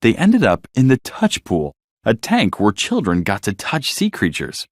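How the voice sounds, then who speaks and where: Animated male bedtime storyteller. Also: on the low side, unhurried, no echo, clean studio sound. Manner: expressive, dramatic storytelling. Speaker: storybook narrator. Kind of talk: scripted bedtime story narration with acted dialogue.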